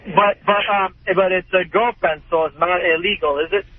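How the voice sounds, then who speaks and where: A man's voice: sits high, fairly even intonation, normal speed. A man calling in to a radio show, chatting hesitantly with stammers and unsure questions. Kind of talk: hesitant casual phone chat. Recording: tinny, noisy phone line.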